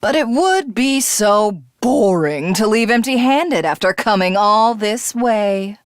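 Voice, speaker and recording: A woman's voice: medium pitch, lively intonation, takes it slowly, no echo, studio quality. A woman voicing a haughty alien villainess in a video game, grandiose, theatrical and condescending. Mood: condescending, cruel. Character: haughty female alien commander craving godhood.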